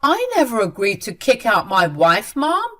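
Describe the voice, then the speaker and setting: A woman's voice: medium pitch, huge pitch swings, moderate pace, a bit bright. A female narrator plays an angry husband and son in a family drama story. He is stern and confrontational, firmly standing his ground with emphatic, raised delivery.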